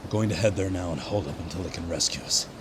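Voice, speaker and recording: An actor playing a weary, gritty space soldier who records a grim personal log in an audio drama. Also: male voice, sits low, fast, big-room sound, noisy background, lightly acted. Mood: grim, shaken. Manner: brooding, gritty, swears.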